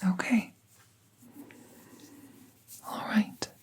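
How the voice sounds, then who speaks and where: A woman playing a gentle, playful fae veterinarian in a soft, soothing ASMR roleplay, with breathy, whispery speech and formal wording. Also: drawn-out words. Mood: careful, reassuring. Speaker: audio roleplay performer.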